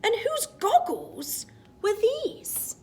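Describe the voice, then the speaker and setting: A woman storytime teacher reading a picture book aloud to young children, expressive and sing-song, with chatty asides. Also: very high voice, slow.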